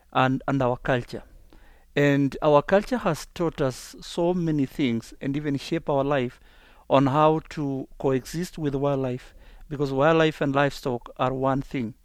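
A man, an assistant chief answering interview questions in a halting way, with restarts. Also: mid-range voice, a little mellow, no echo. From Kenya.

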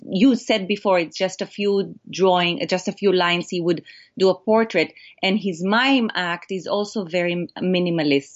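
A woman with an Israeli accent, a documentary filmmaker answering interview questions in earnest, animated storytelling. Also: fairly low pitch, lo-fi sound.

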